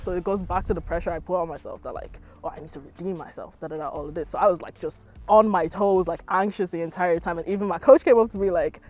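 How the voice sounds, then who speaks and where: Chatty young Irish woman, faint music. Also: lowish voice. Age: young adult. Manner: chatty, lots of "like", lively retelling. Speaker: athlete interviewee. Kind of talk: casual interview answers.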